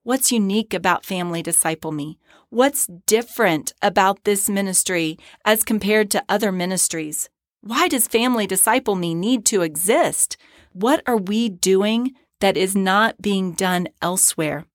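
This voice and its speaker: A woman hosting a devotional podcast, earnest and encouraging, her voice hoarse and scratchy from a cold. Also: mid-range voice, normal speed, no echo, studio quality. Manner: earnest and encouraging, with short breathy laughs. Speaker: podcast host and ministry founder. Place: podcast studio.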